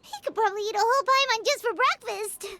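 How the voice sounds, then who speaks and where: A woman voicing an excitable, timid little fairy companion in a video game who talks about herself in the third person. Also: very high-pitched, fast, slight background noise, heavily acted. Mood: chirpy. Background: game music.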